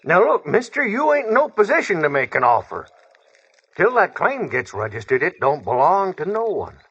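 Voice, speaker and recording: A husky frontier schemer who leads a gang of claim jumpers. His rustic talk swings widely in pitch as he blusters and wheedles, in the manner of an old radio western on a dull, lo-fi recording. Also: sing-song, normal speed, a bit bright, full character voice. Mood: blustering, pushy.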